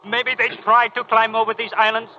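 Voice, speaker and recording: A man's voice: very high-pitched, monotone, brisk, pushed and bright, full character voice. A man playing a loyal, defiant young fighter who speaks clipped, broken English in a 1940s radio drama. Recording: dull, noisy 1940s radio recording.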